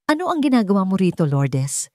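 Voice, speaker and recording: Woman acting villainous rich wife, audio drama, cold, vengeful, deliberate menace, sneering, close dry sound. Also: mid-range voice, sing-song, no room sound, natural voice. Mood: suspicious, threatening.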